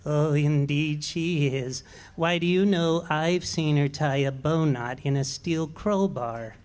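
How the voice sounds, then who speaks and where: An actor playing a meek, henpecked middle-aged husband in a live radio play, speaking softly and hesitantly. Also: male voice, on the high side, slow pace. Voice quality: soft, mild and hesitant. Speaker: amateur actor.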